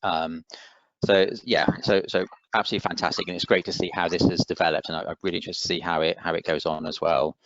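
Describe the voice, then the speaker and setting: A man's voice: sits low, little pitch movement, quick pace, a bit bright, no echo. A man hosting an online meeting, giving appreciative off-the-cuff remarks in a hesitant, repeating way. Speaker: soil surveyor, meeting host. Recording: dull, lo-fi online call.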